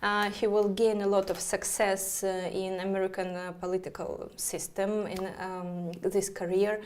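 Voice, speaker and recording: A woman astrologer with a Russian accent, speaking haltingly with many fillers as she talks to viewers of her live stream. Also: medium pitch, little pitch movement, a little mellow.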